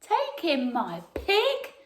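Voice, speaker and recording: A woman voicing a flustered, dramatic little old lady from a children's picture book, wailing and pleading with drawn-out exclamations. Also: extremely high pitch, huge pitch swings, slight background noise, pitched above the performer's own voice, full character voice. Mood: dramatic distress. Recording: roomy, echoey sound.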